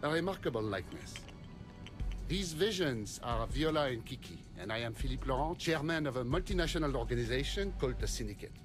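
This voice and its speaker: A man's voice: medium pitch, lively intonation, brisk, over music, close to an everyday voice. An actor playing a polite, condescending older crime syndicate chairman, in a video game cutscene. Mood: smug, composed.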